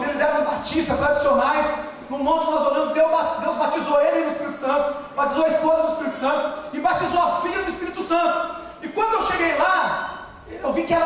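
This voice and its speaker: A man with a Brazilian Portuguese accent preaching a sermon as pastor, often shouting for emphasis. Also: very high-pitched, a little hiss or hum. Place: large church hall. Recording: echoey church hall, distant mic.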